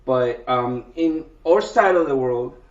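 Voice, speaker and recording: A man's voice: medium pitch, expressive. A man from Venezuela answers questions as a guest cook on a podcast, stumbling over fillers and restarting his sentences. He sounds distant from the mic in an echoing room, with a dull lo-fi tone. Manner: halting, many fillers and restarts. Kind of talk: casual interview answers.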